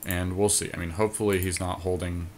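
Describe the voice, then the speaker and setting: A man's voice: low-pitched, flat delivery, brisk, darkish tone, a little hiss or hum. A man co-hosting a gaming podcast, casually thinking through card game moves aloud in informal, slangy language.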